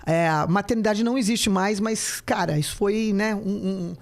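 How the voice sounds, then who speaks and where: This is a woman in her fifties, a guest on a relaxed podcast. She tells stories in a chatty, animated way and jokes as she goes. Her voice sits at a middle pitch for a woman. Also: moderate pace. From São Paulo, Brazil. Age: 50s. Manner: chatty, animated storyteller, joking. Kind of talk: casual podcast interview.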